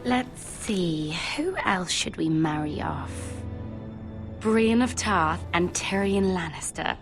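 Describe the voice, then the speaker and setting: A woman playing a young lady-in-waiting in a video game, girlish, eager and gossipy, with an expressive delivery. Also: fairly low pitch, slow pace, music in the background. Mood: playful. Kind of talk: cinematic video game voice acting.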